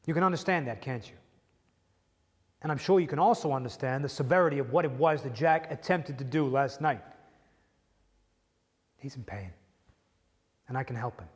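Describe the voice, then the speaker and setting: A man playing a polite, insistent prison doctor in a dramatic monologue, measured and firmly persuasive. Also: mid-range voice, natural voice. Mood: frustrated, pleading. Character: polite, insistent male prison doctor pleading for his patient. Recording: long echoing hall reverb.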